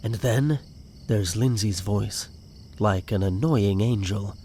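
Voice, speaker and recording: Unhurried male drama narrator. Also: on the low side, warm tone, a little hiss or hum. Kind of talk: scripted audio drama narration. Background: music.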